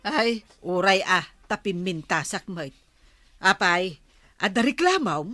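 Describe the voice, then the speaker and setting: An actress playing a vain, bossy middle-aged village wife in an audio drama, scolding in drawn-out phrases with an Ilocano Filipino accent. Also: female voice, mid-range voice, sing-song, sharp tone, no room sound, exaggerated voice.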